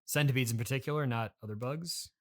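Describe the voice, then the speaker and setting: A man's voice: mid-range voice, quick pace, fairly bright tone. Guy with a mid-range voice, awkward n hesitant, trying to reason things out in an improv comedy chat. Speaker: podcast host playing himself.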